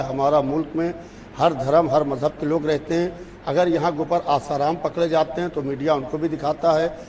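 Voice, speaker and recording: Cleric guy on a tv debate, calm and slow to the point, slightly high voice, faint hiss behind. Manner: calm, deliberate, repeats affirmations. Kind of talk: measured TV debate statement.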